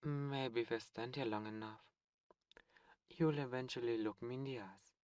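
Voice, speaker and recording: A man's voice: mid-range voice, fairly even intonation, moderate pace, a little mellow, dry recording, close to an everyday voice. A man voicing a suave, cold male demon prince in an ASMR roleplay, speaking in a silky, condescending and menacing way. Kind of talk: ASMR roleplay audio drama.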